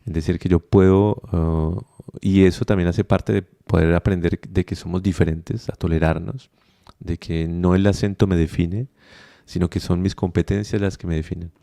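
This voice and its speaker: A young adult man with a Colombian accent, interviewed on a podcast. His low voice has a gravelly edge and a dark, mellow color. He talks in a reflective, unhurried way, thinking aloud with fillers and restarts. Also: fairly even intonation. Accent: Colombian Spanish. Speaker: podcast guest, migrant. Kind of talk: conversational interview.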